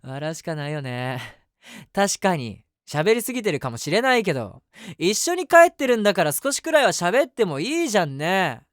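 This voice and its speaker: Man acting an eager, puppyish lovestruck young guy, animated. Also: high voice, moderate pace, dry recording, clean studio sound. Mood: deflated, wistful. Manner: chatty, rambling, self-mocking. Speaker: voice actor. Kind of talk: situation voice drama, first-person monologue to the listener.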